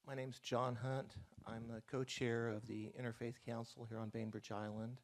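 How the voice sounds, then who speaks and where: A man's voice: medium pitch, flat delivery, normal speed. A man, co-chair of an interfaith council, introducing himself plainly on a panel. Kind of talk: self-introduction.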